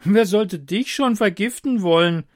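A man voicing a scrawny, bossy male court jester in a storybook reading, with a high, mocking put-on voice. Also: measured pace.

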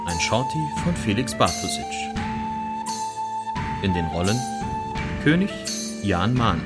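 A man's voice: lowish voice, very animated, takes it slowly. A man reading scripted credits as an announcer. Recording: loud music under the voice. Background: loud music.

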